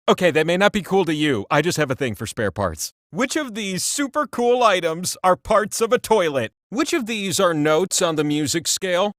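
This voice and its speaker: An actor playing a cocky, ancient talking wheel who hosts a video game quiz show with pompous, playful theatrical delivery. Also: male voice, high voice, a bit bright, dry recording, studio quality, heavily acted.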